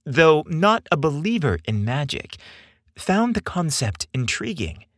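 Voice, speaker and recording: Expressive, husky male storyteller. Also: fairly high pitch, a little slow, no echo, clean studio sound. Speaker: podcast host and story narrator. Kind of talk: scripted story narration.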